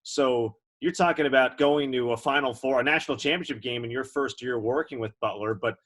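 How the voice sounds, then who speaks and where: A man with a medium-pitched voice hosts a sports interview. He talks conversationally and lets his questions ramble in a chatty way. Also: brisk, fairly bright tone. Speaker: interview host.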